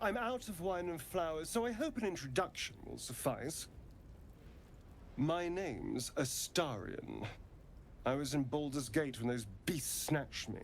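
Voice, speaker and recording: An actor playing a suave, sardonic rogue in a video game, with a theatrical drawl and arch politeness. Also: male voice, fairly high pitch, lively intonation, a little slow, gravelly, slight background noise. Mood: arch, charming. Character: suave, sardonic male vampiric rogue, charming and wary.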